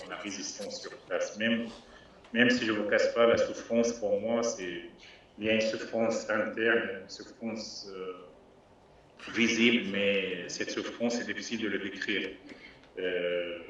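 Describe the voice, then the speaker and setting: Man, palestinian, low voice, halting and earnest, far-off echoey lo-fi call audio. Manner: halting, many restarts and fillers, earnest. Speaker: guest speaker on a live call. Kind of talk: informal live talk. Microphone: remote video call. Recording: distant, echoey, dull lo-fi call audio with faint noise.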